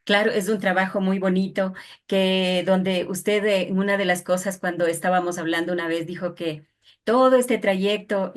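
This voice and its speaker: Slightly low-voiced woman interviewing. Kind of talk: polite, admiring interviewing.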